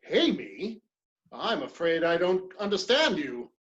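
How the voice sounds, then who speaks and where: A man playing a proud, cornered English gentleman politician in a dramatic reading of a period play, formal and stiff. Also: high voice, sing-song, slow, full character voice. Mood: indignant. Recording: dull, lo-fi microphone sound.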